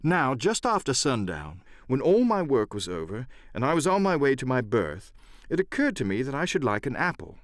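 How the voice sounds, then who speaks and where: Expressive male audiobook narrator. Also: medium pitch, moderate pace, dry recording, studio quality. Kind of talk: dramatic scripted storytelling, adventure narration.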